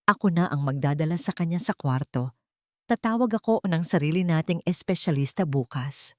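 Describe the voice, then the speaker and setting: A woman's voice: sits low, expressive, moderate pace, no echo. A woman voicing a guilt-ridden, protective rich father and guarded husband in an audio drama, earnest and restrained. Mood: resolute.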